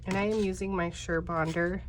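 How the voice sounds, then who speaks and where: A woman in her thirties talks viewers through a craft project in a chatty, easygoing way. She sounds congested and slightly nasal, her voice sits a little low, and a faint noise floor hums under the close recording. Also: steady tone, fairly quick. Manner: chatty, talks straight to viewers, many fillers. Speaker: crafting tutorial host. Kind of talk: casual step-by-step craft tutorial.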